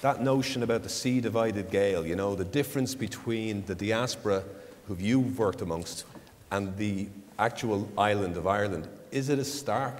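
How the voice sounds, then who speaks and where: A man leads the interview with measured questions. His voice is slightly low. A long hall reverb and faint ambience surround him. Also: slight background noise.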